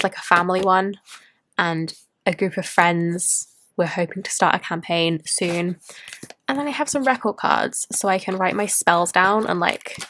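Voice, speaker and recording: Late-20s woman, casual vlog host, bubbly and rambling, mid-range voice. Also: medium pitch. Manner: bubbly, rambling, self-interrupting. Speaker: planner and tarot vlogger. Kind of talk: casual chatty show-and-tell.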